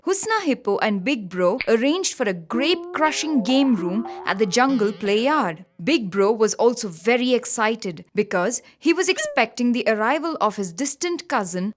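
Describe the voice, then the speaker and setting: A woman reading scripted children's stories as an expressive, dramatic storyteller. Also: a little high. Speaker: storyteller, narrator.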